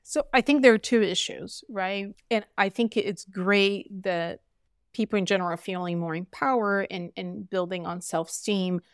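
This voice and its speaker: Gravelly woman doctor, earnest. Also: medium pitch, fairly even intonation, moderate pace, no room sound, clean studio sound. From Brazil. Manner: earnest and emphatic, frequent fillers. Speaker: physician guest. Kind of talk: conversational interview.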